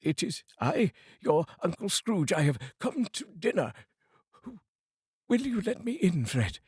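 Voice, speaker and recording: A man voicing an old reformed miser who booms with cheer and sometimes puts on a gruff growl for his clerk, in a storybook reading. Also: big pitch swings, a little slow, darkish tone, no room sound, clean studio sound, heavily acted. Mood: jolly. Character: old man, former miser turned giddy and jolly.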